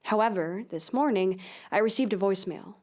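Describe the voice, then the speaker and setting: A woman playing a determined investigative journalist in an audio drama, speaking in a deliberate, earnest way. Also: mid-range voice, a little mellow, natural voice. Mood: grave narration. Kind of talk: audio drama, scripted investigative podcast narration.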